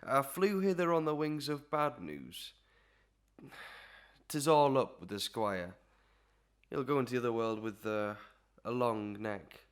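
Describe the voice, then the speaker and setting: A man's voice: medium pitch, expressive, measured pace, clean tone, natural voice. A man playing a pompous, stammering comic beadle who talks in flowery phrases, in a Victorian melodrama. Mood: flustered, mournful. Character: pompous, lovestruck comic beadle with genteel pretensions. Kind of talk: Victorian melodrama audio acting.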